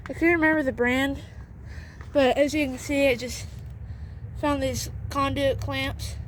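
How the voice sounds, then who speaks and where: A woman giving a casual, halting how-to walkthrough in and around a pickup truck, leaning on fillers and repeating herself as she explains. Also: sits high, steady tone, slight background noise, low-quality recording. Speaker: video host showing a vehicle setup.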